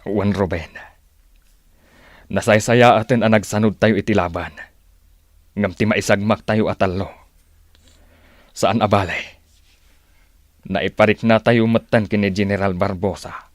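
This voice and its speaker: Actor as a low-voiced wise old uncle. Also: male voice, fairly even intonation, dry recording, studio quality, close to an everyday voice. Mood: resolute, steady. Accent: Ilocano. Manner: reassuring, counselling, measured. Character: calm, wise old uncle, wounded fighter. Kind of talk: Filipino radio drama acting.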